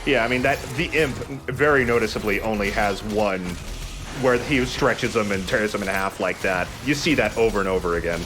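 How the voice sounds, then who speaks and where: Low-pitched man doing game commentary. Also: moderate pace, music in the background. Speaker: let's player. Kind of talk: casual gaming commentary.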